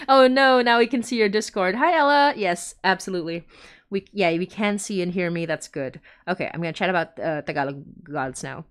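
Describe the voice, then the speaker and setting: Woman streamer just chatting, kinda low voice, rambly, keeps interrupting herself. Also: normal speed, clear, resonant tone. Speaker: podcast host and creator. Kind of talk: casual livestream chat.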